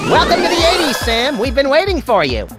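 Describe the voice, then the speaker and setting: A manic little cartoon rabbit thing with an American accent. The voice is high, brash and very excitable, swinging around sarcastically over loud music. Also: male voice, normal speed, heavily acted. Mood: excitable, sarcastic. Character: manic, bratty, bloodthirsty little male rabbit creature. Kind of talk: animated comedy game voice acting. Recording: loud music and rain behind.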